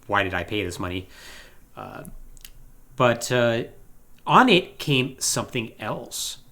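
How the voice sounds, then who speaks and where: Animated, bright-voiced man co-hosting a podcast. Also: mid-range voice, slow. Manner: rambling, exasperated, joking. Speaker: podcast co-host. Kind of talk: casual podcast talk explaining game storylines.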